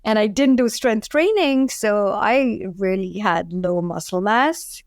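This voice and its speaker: A woman's voice: mid-range voice, slow pace, dry recording. Indian-accented woman chatting in a dry room. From Delhi, India. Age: 40s. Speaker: podcast guest, food entrepreneur. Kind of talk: casual interview chat.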